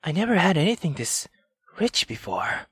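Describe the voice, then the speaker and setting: Woman playing a husky, caring young woman friend. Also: lowish voice, sing-song, moderate pace, dry recording, studio quality. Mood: mild, wry.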